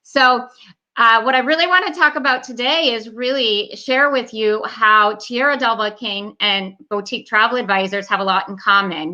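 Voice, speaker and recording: A woman's voice: medium pitch, bright, forceful tone, highs cut off. A woman host introducing a guest in a chatty, friendly way over a video call. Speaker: host, travel advisor.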